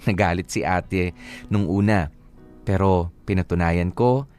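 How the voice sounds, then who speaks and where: A man's voice: fairly low pitch, a little mellow. A man reading a scripted first-person life story as a radio narrator. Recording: guitar music under the voice.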